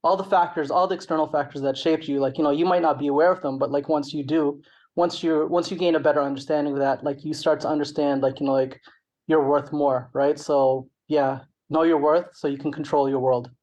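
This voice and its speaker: A man's voice: on the high side, fairly even intonation, a little fast, darkish tone, highs cut off. Casual Canadian man telling his story. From Toronto, Canada. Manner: rambling, peppered with "like" and "you know". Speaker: interview guest, rapper and songwriter. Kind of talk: casual interview talk.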